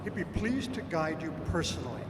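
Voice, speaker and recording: A man's voice: fairly high pitch, sing-song, a little fast. A man playing a dutiful ship's officer, deferential and formal, in an old-time radio drama. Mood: respectful. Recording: echoey, distant, noisy stage recording.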